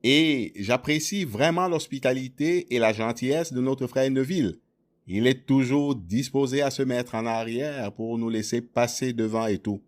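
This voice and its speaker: A man interpreting a sermon, rendering the preacher's words in a measured, plain way. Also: medium pitch. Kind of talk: translated sermon voice-over.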